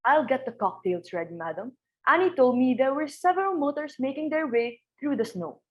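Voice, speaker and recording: Woman playing a high-voiced, deferential servant. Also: lively intonation, brisk. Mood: dutiful. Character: deferential, composed household servant. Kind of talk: dramatic reading of a stage comedy.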